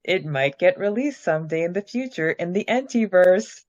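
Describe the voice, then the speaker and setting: A woman's voice: on the low side, lively intonation, normal speed, a little mellow, no echo, lo-fi sound. A woman co-hosting a podcast, chatting casually and reading out listener questions and answers in an upbeat way. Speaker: podcast co-host.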